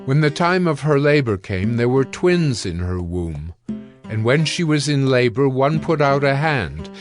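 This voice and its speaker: Male scripture narrator over soft music. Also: mid-range voice, big pitch swings, unhurried.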